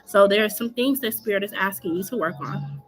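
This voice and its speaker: A woman's voice: medium pitch, fairly quick, soft background music. A woman giving live tarot readings in a casual, chatty way, her voice scratchy from allergies, often checking in with "okay?" and laughing.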